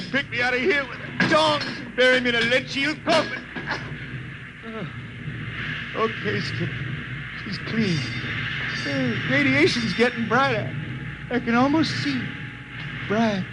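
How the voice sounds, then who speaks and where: A man's voice: a little slow, pauses often. An actor playing a poor old blind spaceman, stubborn and wistful, with folksy slangy speech, in radio drama. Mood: defiant, pained. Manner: folksy drawl with slangy, dropped grammar. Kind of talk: 1950s radio drama acting. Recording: noisy old 1950 radio recording, loud rumble.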